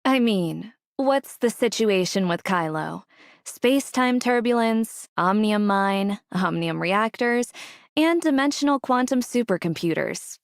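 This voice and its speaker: A cold, calculating woman of an anime game. Her voice sits at a medium pitch, close and dry. She speaks with controlled condescension and a cutting edge. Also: lively intonation, unhurried, creaky, no echo. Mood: cold, commanding. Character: cold, scornful, scheming female mastermind. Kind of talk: scripted anime game dialogue.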